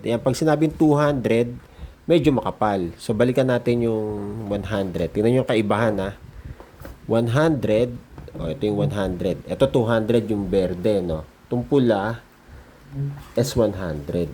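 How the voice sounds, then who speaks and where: Man, Filipino accent, medium pitch, casual demonstration style, explanatory, frequent check-in tags, faint background noise. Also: a little mellow. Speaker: vintage audio hobbyist presenter. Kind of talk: casual show-and-tell demonstration.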